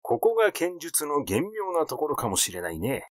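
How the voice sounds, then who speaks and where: A man voicing a rash, glib, excitable schemer in an audiobook, talking in a blunt, teasing, effusive way. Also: fairly high pitch, moderate pace, no echo, clean studio sound. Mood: glib, eager. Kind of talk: literary audiobook character dialogue.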